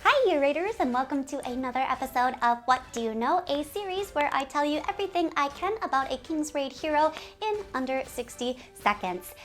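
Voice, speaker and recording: A woman hosting a game community video series, speaking to viewers in an animated, giggly, presenter's way. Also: sits high, fairly quick, hardly any pauses, soft background music. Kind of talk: upbeat scripted video presenting with ad-libbed asides.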